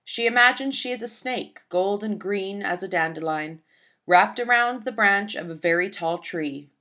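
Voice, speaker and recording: A woman reading a children's picture book aloud in a gentle, expressive storytelling manner. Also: medium pitch, normal speed.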